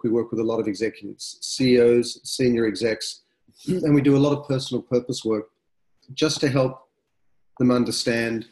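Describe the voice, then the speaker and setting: A middle-aged man, hosting a webinar in a relaxed, conversational, reflective way, his nose blocked up from a cold. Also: medium pitch. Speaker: webinar host. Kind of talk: casual fireside-style webinar talk.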